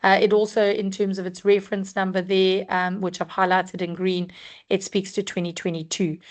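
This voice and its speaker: Croaky-voiced woman presenting a webinar. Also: mid-range voice. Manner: measured, explanatory, frequent ums. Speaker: webinar presenter on labour compliance. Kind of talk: scripted-free webinar talk.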